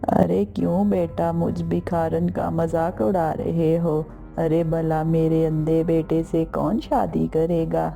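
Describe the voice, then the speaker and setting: A woman plays an elderly beggar mother in a cartoon tale. She is humble and doubtful, speaking slowly in a dark, creaking voice. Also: sits low, flat delivery, soft background music, full character voice. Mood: doubtful, wounded. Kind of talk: Hindi cartoon story dialogue.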